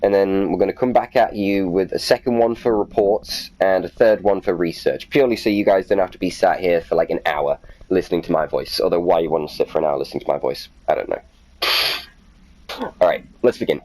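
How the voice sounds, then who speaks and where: A man's voice: sits low, brisk, dark tone. A man hosting a gaming video, chatting casually and reading documents aloud in a playfully self-mocking way. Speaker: gaming video host and reader.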